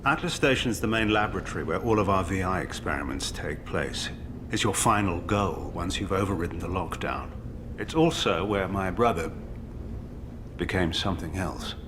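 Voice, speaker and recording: Guy playing an earnest defensive scientist in a video game, lowish voice, explains everything gravely, noisy rumble behind. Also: normal speed, close to an everyday voice. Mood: grave, explanatory. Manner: earnest, expository, grave, emphatic. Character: defensive male scientist justifying his disastrous experiment. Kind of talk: dramatic video game dialogue acting.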